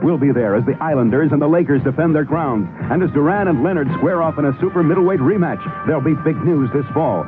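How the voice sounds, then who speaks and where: A man's voice: a little high, fast, few pauses, over music. A man reading a radio advertisement as a commercial announcer, in a scripted promotional style. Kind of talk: brisk radio advertisement read. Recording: tinny, band-limited radio broadcast.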